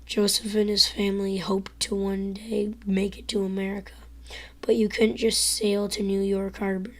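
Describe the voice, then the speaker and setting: A teenage girl with a hoarse, worn-out voice reading a novel aloud live, whispering and stumbling over words as she goes. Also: mid-range voice, flat delivery, normal speed. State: hoarse, lost voice.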